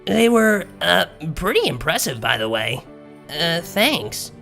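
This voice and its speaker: A man's voice: sits high, very animated, takes it slowly, gravelly, sharp tone, soft background music, full character voice. A man voicing a young female pony DJ in a story, awkward, good-natured and casual. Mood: awkward, modest.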